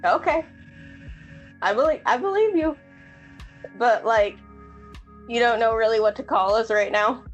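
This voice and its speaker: A woman's voice: fairly high pitch, measured pace, pauses often, muffled recording. A woman chatting casually as she improvises roleplay, blunt and colloquial, with plenty of filler "like". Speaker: tabletop roleplay player. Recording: music playing under the voice.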